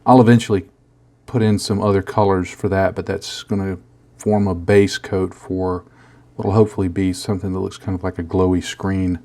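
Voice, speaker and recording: A man with a bad cold and a rough, hoarse voice, casually walking through a model painting tutorial with many small restarts. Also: low-pitched, little pitch movement, normal speed, mellow. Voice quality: rough, gravelly. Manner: rambling, self-deprecating, many restarts.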